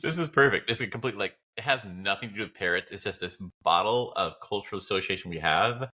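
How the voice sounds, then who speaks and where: A man's voice: on the low side, quick pace, sharp tone. A man co-hosting a podcast, chatting casually and philosophically in a blunt, rambling, opinionated way. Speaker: podcast co-host.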